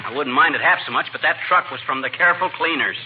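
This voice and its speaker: Man as a cocky high-pitched blustering husband. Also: fast talker, lightly acted. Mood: grumbling. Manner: folksy, slangy. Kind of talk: 1940s radio comedy acting. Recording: muffled, very noisy old radio recording.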